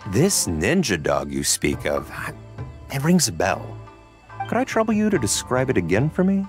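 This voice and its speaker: An actor playing a polite, discreet ninja in a video game, with a measured, thoughtful manner. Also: male voice, medium pitch, wildly swooping pitch. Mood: puzzled, recognizing. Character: polite, discreet male member of a ninja organization. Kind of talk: video game character dialogue. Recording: music behind the voice.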